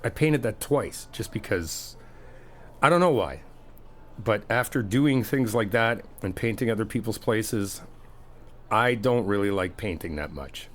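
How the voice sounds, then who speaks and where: A man's voice: lowish voice, lively intonation, a little slow. A man doing a friendly ASMR roleplay, chatty and teasing, reassuring the listener as he helps out. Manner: reassuring, helpful.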